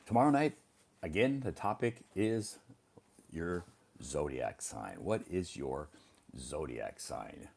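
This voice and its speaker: A man's voice: rumbling low, sing-song, slow pace, creaky, a little mellow, breathy edge. A middle-aged man hosting a casual live stream, chatting and answering viewer comments. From Iowa, United States.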